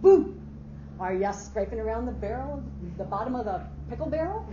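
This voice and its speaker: A woman playing a loud, brash, boisterous office adviser with bouncy, slangy teasing in a staged reading. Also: on the high side, very animated, moderate pace. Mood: boisterous, taunting. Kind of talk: staged dramatic reading of a short story. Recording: muffled, echoey hall with faint noise.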